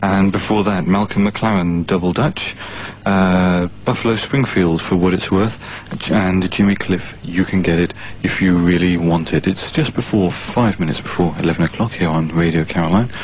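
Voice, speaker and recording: A man working as a radio DJ, announcing records in a measured, slightly hesitant way on a 1980s radio recording. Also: low voice, fairly even intonation, slight background noise. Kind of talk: music radio presenting. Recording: 1980s off-air radio aircheck.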